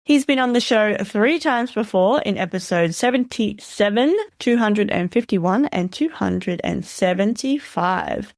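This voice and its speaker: A woman's voice: medium pitch, gravelly, darkish tone, no room sound, clean studio sound. Upbeat woman podcast host. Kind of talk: podcast intro and interview.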